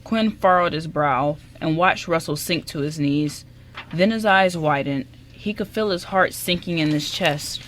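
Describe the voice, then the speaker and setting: A woman's voice: deep voice, unhurried, fairly bright tone, slight background noise. A woman reading scripted narration for an amateur radio drama as its narrator.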